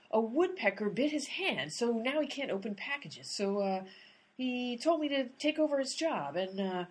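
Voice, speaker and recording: A woman acts out a bossy, earnest amateur lady detective in a radio comedy. Her voice is husky and lively in pitch, with a pedantic, insistent delivery.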